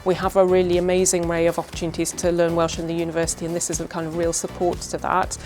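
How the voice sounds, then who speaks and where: Fast-talking, articulate middle-aged woman. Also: on the low side, completely flat delivery, a little mellow, faint music behind. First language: English. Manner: articulate, enthusiastic, measured. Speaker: university vice-chancellor. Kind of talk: conversational interview.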